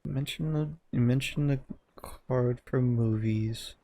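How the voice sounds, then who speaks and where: A man talks casually as a podcast co-host in a close, dry recording with very little room sound. His delivery is halting, as he often searches for the right word, and his pitch sits in an ordinary middle range. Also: warm tone, no room sound. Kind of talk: casual chat.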